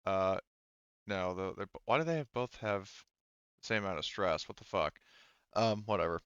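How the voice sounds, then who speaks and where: Male game master, smooth lowish voice, halting think-aloud delivery at the table, dry but slightly dull lo-fi audio. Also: lively intonation, a bit bright, dry recording. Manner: halting, thinking aloud while working through rules and dice. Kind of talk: running a tabletop role-playing game, casual chat.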